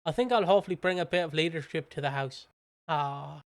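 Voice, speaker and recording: A man's voice: fairly high pitch, fairly quick. A British man co-hosting a podcast in casual chat, giggly and teasing, sometimes reading out notes. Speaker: podcast co-host.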